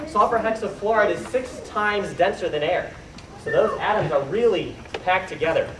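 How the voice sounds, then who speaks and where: A man's voice: sits high, moderate pace, fairly bright tone. A man giving an informal hands-on science demonstration to a young audience, explaining and asking them questions. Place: indoor room with live audience. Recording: echoey room, distant noisy camera microphone.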